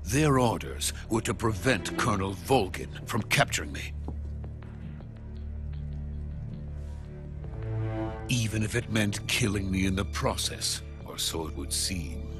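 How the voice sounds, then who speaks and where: Music plays behind an actor as an anxious Soviet scientist in a video game. The man's voice is fairly low. He explains things gravely and deliberately, in a dramatic manner. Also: expressive, natural voice. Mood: grave, urgent. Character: anxious, grave Soviet male weapons scientist seeking escape.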